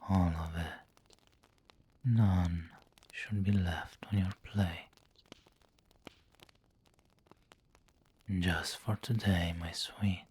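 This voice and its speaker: Man playing a slow, whispering, low vampire lord. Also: fairly even intonation, a little mellow, exaggerated voice. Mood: firm, coaxing. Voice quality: breathy, whispery. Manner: slow, genteel, coaxing endearments. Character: refined, doting male vampire lord, possessive and indulgent. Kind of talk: ASMR roleplay.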